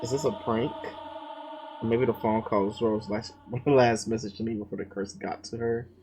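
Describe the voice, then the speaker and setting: A man reading a horror visual novel's text aloud, breaking off to swear and react loudly between lines. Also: fairly low pitch, fairly even intonation, moderate pace. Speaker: gamer playing a visual novel. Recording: loud game music behind.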